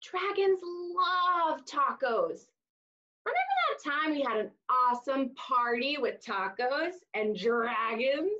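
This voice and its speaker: A woman in her thirties reading a picture book aloud to young children, expressively, with exaggerated emphasis and drawn-out words. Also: high voice, expressive, sharp tone, lo-fi sound. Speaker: children's storytime reader. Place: small room indoors, seated on floor.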